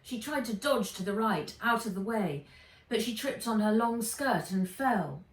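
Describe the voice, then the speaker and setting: A woman reading a children's adventure story aloud with dramatic, expressive narration. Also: on the high side, unhurried. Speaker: author reading own book aloud. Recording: roomy, distant microphone.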